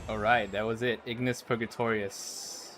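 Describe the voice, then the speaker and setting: A man's voice: lowish voice, little pitch movement, moderate pace, noisy background. A man livestreaming a game, reacting and chatting casually in a loose, off-the-cuff way. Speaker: livestreaming gamer.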